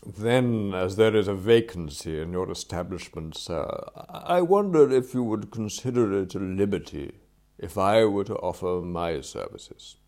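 Give me a man playing an unflappable English valet from a comic storybook, formal and deferential, measured and courteous, in a lowish, slightly gravelly voice. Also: animated, darkish tone. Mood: deferential, composed. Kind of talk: acted audiobook dialogue.

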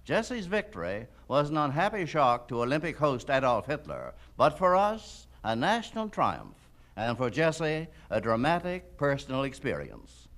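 Male documentary narrator, scripted delivery, very animated pitch movement, mid-range voice. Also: moderate pace.

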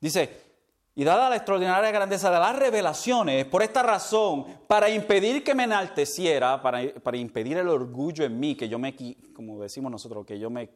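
Animated preacher man. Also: fairly high pitch, a little fast. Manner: animated preaching, mixes in English words; stumbles over words and laughs at himself. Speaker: pastor. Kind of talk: sermon.

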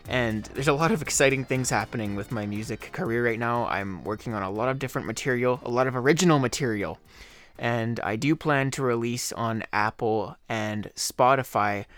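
A man hosting his own podcast, talking casually in a slightly hoarse voice, rambling with fillers. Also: medium pitch, moderate pace, a bit bright, faint music behind. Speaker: podcast host. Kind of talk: casual solo podcast talk.

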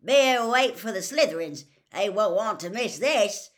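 A woman voicing a huge, cheerful half-giant gamekeeper in an audiobook, loud and enthusiastic. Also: mid-range voice, animated, moderate pace, voice pushed up, natural voice. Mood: hearty. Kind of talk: audiobook character voice.

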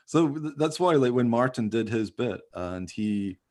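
A man's voice: medium pitch, lively intonation, unhurried, a little mellow. A man chatting casually as a co-host, rambling along in a jokey, self-deprecating way. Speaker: podcast co-host, radio play writer. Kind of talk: casual behind-the-scenes chat.

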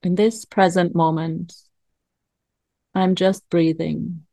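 Female meditation guide, lowish and very unhurried, calm and soothing, leaving long pauses, close dry sound. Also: vocal fry, dry recording. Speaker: meditation coach.

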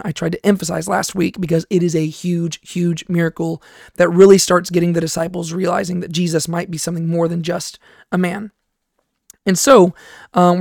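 A tired, hoarse man hosting a Bible study podcast, speaking softly into a close microphone and often asking "right?". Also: high-pitched, no room sound. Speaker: podcast host and Bible teacher. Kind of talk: casual teaching talk.

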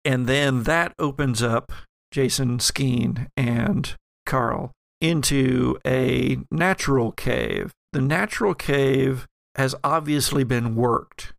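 A man's voice: medium pitch, slow, gravelly, no room sound, studio quality. A man narrating a horror role-playing audio drama as game master, with descriptive, suspenseful storytelling.

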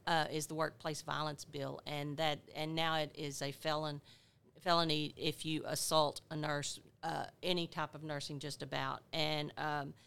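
Woman with a gravelly, bright voice. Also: sits low. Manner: halting, frequent "uh" restarts while recalling details. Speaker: nurse educator and health advocate. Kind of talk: conversational podcast interview.

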